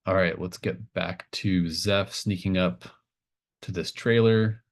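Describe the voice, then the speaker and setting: A man narrating a tabletop horror game as game master, improvising in casual, halting phrases. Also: sits low, steady tone, gravelly.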